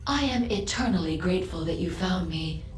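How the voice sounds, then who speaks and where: A woman playing a polite, literal-minded female android in a video game, speaking formally and precisely with a deadpan manner. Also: on the low side, normal speed, close to an everyday voice. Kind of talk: scripted video game dialogue. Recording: roomy echo, music behind.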